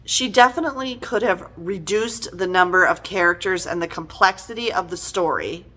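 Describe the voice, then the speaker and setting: A middle-aged woman giving a casual, conversational book review in an animated, exasperated and emphatic manner. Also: on the low side, moderate pace.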